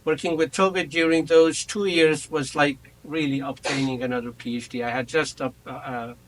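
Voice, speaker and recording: A man's voice: fairly high pitch, steady tone, low-quality recording. Reflective man chairing a memorial roundtable. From Iran. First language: Kurdish. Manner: reflective and measured, personal storytelling. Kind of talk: memorial remarks at an academic roundtable. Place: conference room.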